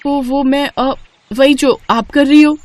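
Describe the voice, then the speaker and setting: Woman voicing a high, mischievous young bride. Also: fairly even intonation, measured pace, a little hiss or hum. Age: young adult. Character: young new bride, mischievous food-loving younger sister-in-law. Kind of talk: Hindi moral story cartoon acting.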